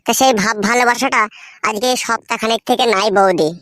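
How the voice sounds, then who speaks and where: A man voicing an earnest, cautious village neighbour in a cartoon, with a pitched-up voice. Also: fast, bright, forceful tone, dry recording. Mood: confiding, serious.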